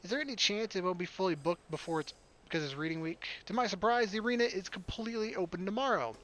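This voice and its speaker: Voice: medium pitch, fast, a bit bright. A game streamer reading a story aloud as narration, with casual, wry asides. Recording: background music behind the voice. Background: game music.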